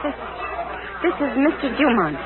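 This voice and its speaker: A woman's voice: on the high side, big pitch swings, a loud crowd, very noisy. Anxious young woman, tinny radio. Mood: anxious, urgent. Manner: urgent, halting, nervous. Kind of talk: 1940s radio acting. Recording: tinny 1949 radio recording, background hubbub.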